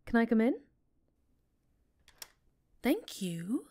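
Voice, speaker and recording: A woman's voice: on the high side, sing-song, extremely slow, darkish tone, full character voice. A woman playing a polished, encouraging female talk show host in a scripted ASMR roleplay, articulate and reassuring with a showy presenter's delivery. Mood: reassuring, upbeat.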